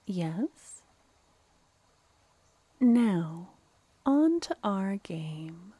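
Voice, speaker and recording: Female vampire played by a woman for ASMR fantasy roleplay: regal, mischievous, coaxing, very slow delivery, soft and breathy, close dry sound. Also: medium pitch, sing-song, no room sound, heavily acted. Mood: playfully indignant. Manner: slow, teasing, mock-polite.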